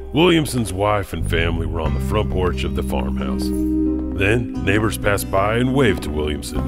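A man reading scripted storytelling narration as a narrator. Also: low-pitched. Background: music.

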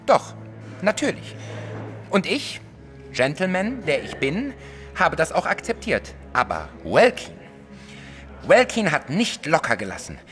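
A man's voice: on the high side, wildly swooping pitch, measured pace, pauses often, a bit bright, faint music behind, exaggerated voice. An actor playing a small, self-righteous, jealous male artist in an audio drama, vehement and defensive in manner. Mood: defensive, indignant. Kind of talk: German audio drama acting.

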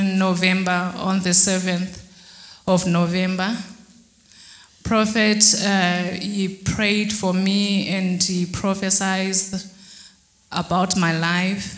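A young woman giving a church testimony into a handheld mic, halting and hesitant with fillers and restarts. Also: medium pitch. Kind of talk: testimony interview. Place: church hall backdrop, indoors. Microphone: handheld mic held by interviewer. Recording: roomy hall sound.

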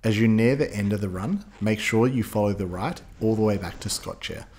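A man's voice: fairly low pitch, a little fast, darkish tone, a little hiss or hum. A man giving upbeat scripted voice-over narration, guiding listeners step by step like a ski guide.

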